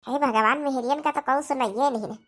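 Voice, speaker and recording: A young, put-upon daughter-in-law from a comedy cartoon, acted by a woman, speaks in a high, sharp voice. She has an Awadhi accent and complains plaintively, begging for sympathy. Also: fairly quick.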